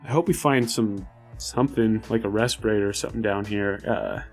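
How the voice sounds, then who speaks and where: A man's voice: lowish voice, a little fast, faint music behind. A man playing a tabletop RPG, improvising casually in character in a hesitant, rambling way. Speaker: player in a tabletop RPG podcast.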